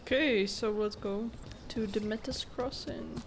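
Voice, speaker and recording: A woman's voice: mid-range voice, measured pace, a little hiss or hum. A woman giving casual commentary on her video game playthrough. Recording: game music behind.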